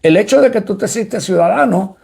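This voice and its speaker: A man's voice: high voice, lively intonation, fairly quick, gravelly, darkish tone, breathy edge. A man from Cuba, preaching a sermon and repeating points as he explains them to listeners. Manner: repetitive, explanatory preaching that addresses listeners directly. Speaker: apostle preaching.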